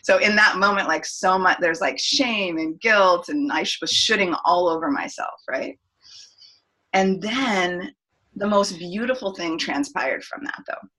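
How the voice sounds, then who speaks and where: A woman with a bright, forward voice that sits a little low for her. She talks as a podcast guest, telling a reflective personal story. She often restarts her sentences and trails into fillers. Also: unhurried, poor audio. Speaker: guest, singer and voice coach. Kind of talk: casual podcast interview.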